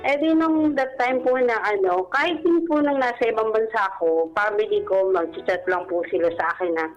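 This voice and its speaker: A woman's voice: fairly high pitch, normal speed, dull audio, faint music behind. A Filipino woman speaking as a radio show caller, telling her personal story in a candid, chatty way. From Philippines.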